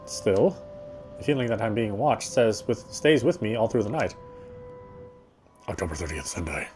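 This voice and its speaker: A man reading first-person story narration aloud in a theatrical, fussy gentleman's manner. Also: lowish voice, expressive, moderate pace, soft background music. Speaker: game player reading aloud.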